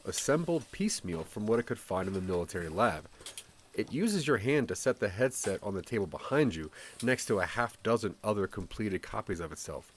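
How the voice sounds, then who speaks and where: A man's voice: fairly low pitch, slight background noise. A man narrating a scripted second-person audio drama in a dramatic storytelling delivery. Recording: music under the voice. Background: background music.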